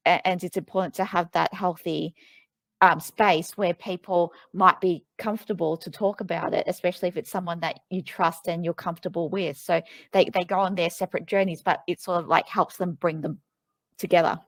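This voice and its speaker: A woman with a fairly low voice, speaking as an author guest in an interview. She talks thoughtfully and hesitantly, pausing on ums and restarting phrases. The recording is dry and close. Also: steady tone, dry recording. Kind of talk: conversational interview.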